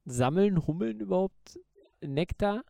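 Podcast co-host, male: dark, relaxed tone with a gravelly edge, loose banter. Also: high-pitched, measured pace, no room sound. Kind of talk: casual chat.

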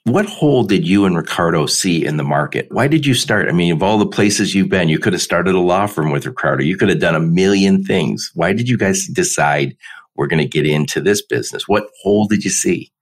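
A man hosting a podcast interview, chatty and quick to laugh. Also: deep voice. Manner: chatty, laughs often, animated when quoting others. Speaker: podcast host. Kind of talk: conversational interview. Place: Detroit area.

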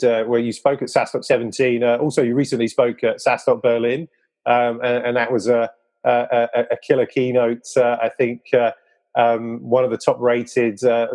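A man's voice: mid-range voice, a little mellow. A man hosting a podcast, presenting and interviewing. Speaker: podcast host.

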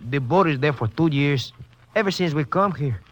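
An actor playing an evasive, nervous Mexican man in a 1950s radio drama, with halting, stammering broken English and a Mexican accent. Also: on the high side, expressive, no echo, natural voice. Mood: anxious confessing. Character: evasive, nervous Mexican man living in a poor river hut. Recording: muffled, band-limited 1950s radio broadcast.

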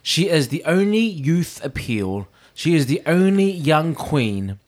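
A man co-hosting a casual review show, animated, talking fast over his partner. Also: a little high. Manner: animated, talks over others, acts out quoted bits. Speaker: podcast co-host reviewing a drag competition. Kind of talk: casual chat.

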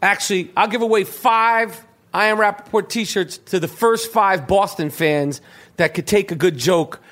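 A man's voice: high voice, unhurried, fairly bright tone. A man hosting his podcast in casual chat, swearing often and stumbling over restarts. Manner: profane, stammering restarts. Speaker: podcast host.

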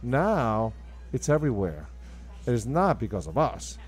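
A man's voice: medium pitch, sing-song, measured pace, faint music behind. A man from Argentina with an Argentine accent and Spanish as his first language, speaking emphatically and enthusiastically as an interview guest. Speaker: guest, restaurant owner. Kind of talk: conversational interview.

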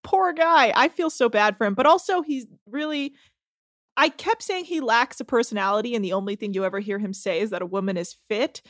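A woman with a fairly high voice, recapping eagerly as a podcast co-host. Her voice is recorded dry and close, with no room sound. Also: brisk, dry recording. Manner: recaps with enthusiasm, laughs often. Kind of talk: casual chat.

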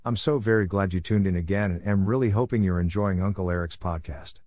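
A man's voice: sits low, brisk, darkish tone, no room sound, studio quality. A man reading a scripted podcast introduction and sponsor message as host.

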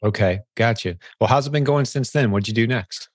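A man's voice: medium pitch, brisk, no echo, clean studio sound. A man hosting a conversational podcast interview in an encouraging, affirming way. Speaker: podcast host.

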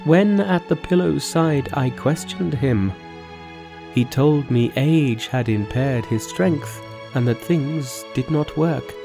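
A man's voice: fairly high pitch, sing-song, measured pace, over music, voice pushed up. A man voicing a sorrowful, anxious queen mother who speaks tenderly and formally in a storybook tale. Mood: sorrowful confiding. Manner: formal, plaintive, pleading. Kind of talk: storybook dialogue in a narrated folk tale.

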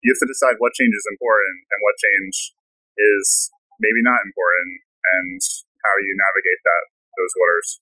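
A man with a bright, slightly husky voice hosts a podcast interview. His delivery is loose and chatty, full of "like" fillers, and the sound is dry and close. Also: low-pitched, moderate pace, no echo, poor audio. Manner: relaxed, many fillers like "like". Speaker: podcast host. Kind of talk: casual interview chat. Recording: outdoor field recording.

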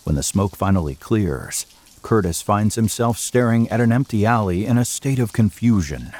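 A man's voice: lowish voice, animated, normal speed, darkish tone. A man reading scripted third-person narration for an audio drama.

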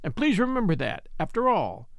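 A man reading a storybook, playing a hot-tempered English husband who speaks in a sharp, indignant way. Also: high-pitched, huge pitch swings, normal speed, vocal fry, no echo, exaggerated voice. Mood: angry.

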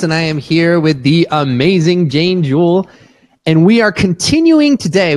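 Energetic man hosting a business podcast. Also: fairly high pitch, no room sound. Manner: energetic, emphatic, repeats words. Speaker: podcast host.

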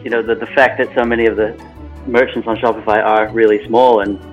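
A man's voice: on the low side, level tone, fairly quick. Kiwi guy on a podcast, kinda hesitant, lots of ums, muffled line, bit of music. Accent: New Zealand. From New Zealand. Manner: hesitant, with fillers and laughs. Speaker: guest, agency founder. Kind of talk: casual podcast interview.

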